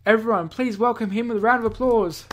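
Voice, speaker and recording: A man's voice: very high-pitched, fast talker, slight background noise, voice pushed up. Man playing a formal conference announcer. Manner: formal, presenting. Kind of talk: visual novel read-aloud.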